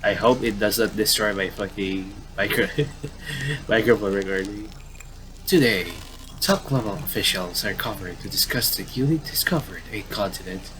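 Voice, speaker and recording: Low-voiced man doing noisy game commentary. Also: moderate pace. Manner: stumbles and repeats phrases while reading. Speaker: gaming commentator reading a visual novel aloud. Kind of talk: casual playthrough commentary mixed with reading dialogue aloud.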